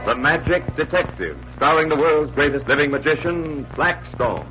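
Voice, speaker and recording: A man announcing a 1940s radio show with emphatic, drawn-out, dramatic showmanship. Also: on the high side, lively intonation, over loud music. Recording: dull 1940s radio recording.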